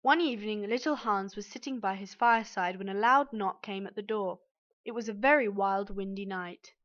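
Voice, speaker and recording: A woman's voice: mid-range voice, normal speed, fairly bright tone. A woman narrating a scripted children's story as its reader. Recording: dull, band-limited audio.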